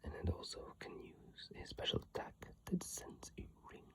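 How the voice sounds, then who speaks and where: An ASMR creator reading video game lore in a breathy whisper, unhurried and informal with asides, recorded close on an iPhone mic. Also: fairly bright tone. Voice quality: breathy whisper, mostly unvoiced.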